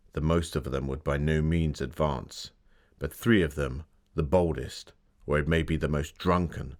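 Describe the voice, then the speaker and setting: Victorian gentleman author, low-pitched, theatrical scripted storytelling, formal and dramatic. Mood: grave, narrating. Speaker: voice actor.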